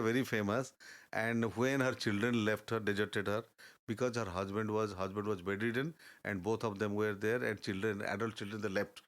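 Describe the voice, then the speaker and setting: Older man, halting storyteller. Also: lowish voice, fairly bright tone. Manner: halting, searching for words, earnest and advising. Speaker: interview guest. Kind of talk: reflective storytelling in conversation.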